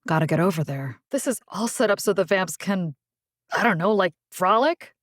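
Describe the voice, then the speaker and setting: An actress plays a young woman who fights and rescues in a video game. She is plucky and protective, a casual, sardonic way of talking. Her voice sits at a middle pitch and is recorded very dry. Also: animated, normal speed, no echo, lightly acted. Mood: focused, wry.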